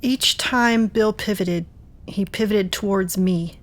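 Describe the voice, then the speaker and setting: A woman's voice: mid-range voice, takes it slowly, close to an everyday voice. Actress doing an earnest thoughtful woman, audio drama, natural mid-range voice. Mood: searching, earnest. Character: earnest, reflective woman searching for answers about love.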